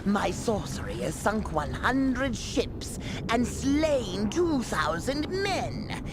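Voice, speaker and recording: A woman's voice: a little high, sing-song, slow, background noise. A woman playing a haughty, vengeful dragon sorceress in a video game, grandiose, menacing and full of contempt. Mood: grand, menacing. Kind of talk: video game villain acting.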